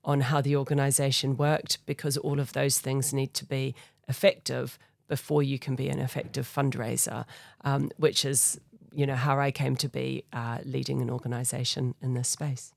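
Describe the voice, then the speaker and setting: A woman's voice: very deep voice, little pitch movement, normal speed, gravelly, darkish tone, dry recording, clean studio sound. A middle-aged woman with a New Zealand accent, a charity chief executive speaking thoughtfully and earnestly as a podcast guest. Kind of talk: conversational interview.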